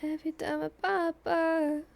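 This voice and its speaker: A woman with a creaky voice chatting casually as she streams live gameplay. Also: very high-pitched, flat delivery, unhurried.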